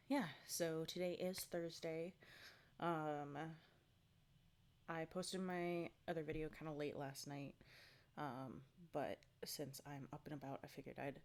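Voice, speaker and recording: A woman's voice: sits low, a little mellow. A woman talking casually in a video diary, a bit out of breath and congested, rambling with lots of ums and likes. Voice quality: congested, stuffy nose. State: slightly out of breath. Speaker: fitness vlogger.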